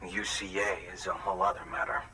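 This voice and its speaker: Game junk dealer: bitter, cantankerous, sardonic, mid-pitched, heard from a distance with noticeable ambient noise. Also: male voice, level tone, a little fast, pushed and bright. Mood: grudgingly pleased. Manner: curt, sardonic, profane. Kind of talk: video game cutscene acting.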